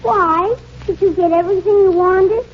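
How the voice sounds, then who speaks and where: A woman's voice: very high-pitched, normal speed, soft background music. A woman playing a sweet, innocent little girl with a small childlike voice in a 1940s radio drama. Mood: innocent, coaxing. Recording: muffled noisy 1940s radio recording.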